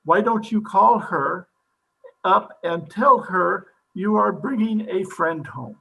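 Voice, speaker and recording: A man playing a pompous, excitable psychiatrist in an old-time radio comedy, speaking theatrically. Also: high voice, slow, creaky, full character voice, poor audio. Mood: self-important.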